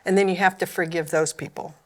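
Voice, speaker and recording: A woman's voice: lowish voice, steady tone, fairly quick. A woman with an Oklahoma accent, a ministry founder, sharing her personal story as a podcast guest in an earnest, candid way. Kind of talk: personal testimony in a panel chat.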